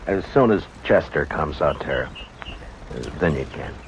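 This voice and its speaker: An American actor playing a stern, weary, protective Old West marshal in a 1950s radio western, speaking in a terse, measured way. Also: male voice, a bass voice, lively intonation. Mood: gentle, tired. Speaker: lead actor and first-person narrator. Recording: muffled old radio recording, heavy noise.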